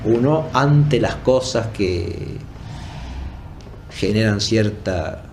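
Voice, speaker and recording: A man giving an unscripted academic lecture in a formal, didactic and deliberate way, rephrasing as he goes and often checking in with short tag questions. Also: lowish voice, darkish tone, a little hiss or hum. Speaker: philosophy lecturer.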